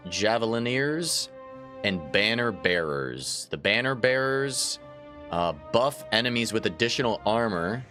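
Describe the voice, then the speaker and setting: A man's voice: on the low side, a bit bright. A man hosting a let's play, casually chatting to viewers with a slightly stuffy nose. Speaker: gaming channel host. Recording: faint game music behind.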